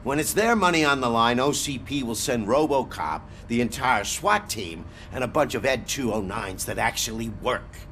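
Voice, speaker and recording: Mid-pitched jaded policeman. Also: animated, normal speed, hardly any pauses, a bit bright, slight background noise. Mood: sardonic. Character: jaded, sardonic male police officer. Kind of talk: video game voice acting.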